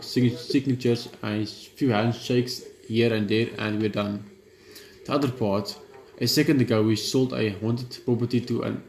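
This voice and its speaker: A man's voice: medium pitch, a little mellow, slight background noise. Man reading a game aloud, echoey room. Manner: plain, stumbling over words while reading. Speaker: gaming YouTuber. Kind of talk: reading a visual novel aloud with commentary.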